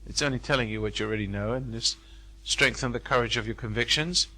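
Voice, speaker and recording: An older man holds forth to a seminar audience in a hotel function room. His voice is bright and forward, his manner folksy and sermon-like, driving points home by saying them twice. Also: mid-range voice, fast talker, rough recording. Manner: folksy, preacherly, repeats phrases for emphasis. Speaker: seminar speaker. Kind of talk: live seminar talk with audience.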